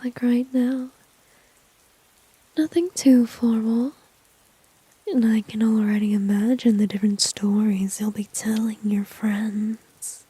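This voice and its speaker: Woman acting a breathy, whispering seductive villainess. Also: a little high, dark tone, dry recording, exaggerated voice, poor audio. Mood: sly, teasing. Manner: slow, teasing, smug, intimate. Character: seductive, dominant female villain teasing a shy enemy. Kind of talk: whispered ASMR roleplay. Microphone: very close, dry ASMR microphone.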